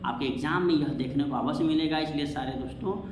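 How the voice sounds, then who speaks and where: A man's voice: on the high side, quick pace. A man teaching an exam-prep science lesson, repeating himself and asking questions that he answers himself. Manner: repetitive, asks and answers own questions. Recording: echoey room, faint background noise.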